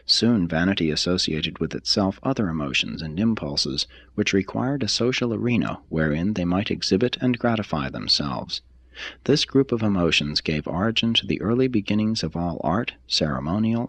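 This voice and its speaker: Male narrator, very low pitch, husky edge, lively intonation, scripted reading, measured formal phrasing. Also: no room sound. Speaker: reader of a text.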